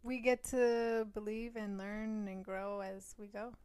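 A woman co-hosting a podcast in casual, slangy chat with animated reactions. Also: medium pitch, slow pace, smooth, dry recording. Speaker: podcast co-host.

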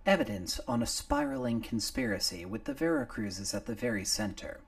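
A man's voice: on the low side, lively intonation, a little fast, recorded from a distance, pitched above the performer's own voice, close to an everyday voice. A man voicing a cool, terse female killer who speaks in a plain, clipped way.

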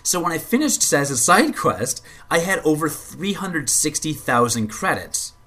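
A man's voice: fairly high pitch, sing-song, measured pace, sharp tone. A man co-hosting a casual gaming podcast, rambling and stammering with repeated words, and swearing when annoyed. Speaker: podcast co-host.

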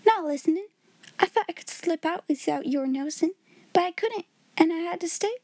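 A woman's voice: very high-pitched, lively intonation, a little fast, darkish tone, dry recording, voice pushed up. A woman voicing a timid young scullery maid girl who pleads through sobs and drops letters as she talks. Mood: pleading.

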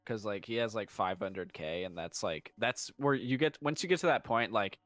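A man's voice: lowish voice, moderate pace, fairly bright tone, dry recording. A man co-hosting a podcast, joking crudely, swearing freely and laughing often. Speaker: podcast co-host. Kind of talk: casual podcast chat.

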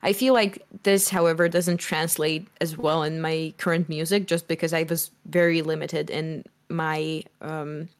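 A young woman musician speaking loudly in a casual interview, rambling with fillers. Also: low voice, flat delivery, unhurried, hardly any pauses. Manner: loud, strong voice. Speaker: musician guest.

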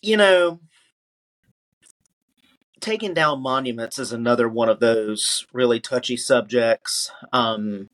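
A man's voice: mid-range voice, slow, pauses often, a bit bright. A man who is a guest on a podcast, speaking emphatically and often restarting his sentences with "you know". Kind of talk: casual conversational interview.

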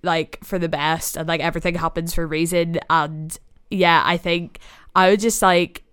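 A young woman with a Northern Irish accent, a podcast host being interviewed, chatting casually, rambling and apologising, with a deep voice and frequent laughs. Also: steady tone, moderate pace, fairly bright tone, smooth. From Northern Ireland. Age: young adult. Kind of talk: casual chat.

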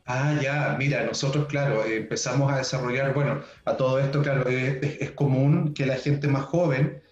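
A man's voice: mid-range voice, faint music behind. Chilean guy chatting easy, says ya a lot, kinda muffled echoey audio. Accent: Chilean Spanish. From southern Chile. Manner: relaxed, talkative, fills pauses with "ya". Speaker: guest researcher. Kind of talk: interview conversation. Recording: roomy, dull sound.

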